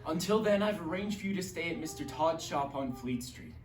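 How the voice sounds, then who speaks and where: A man's voice: fairly high pitch, expressive, fairly quick, music in the background, natural voice. A man playing an earnest young sailor in love who speaks in an urgent, pleading way. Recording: echoey room, distant mic.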